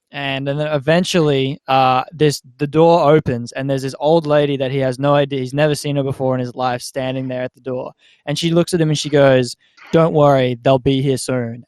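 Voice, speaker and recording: A man hosting a podcast, telling stories in an animated, casual way and often checking in with his listeners. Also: medium pitch, smooth, no echo. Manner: animated storyteller who often checks in with "right?". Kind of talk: casual storytelling chat.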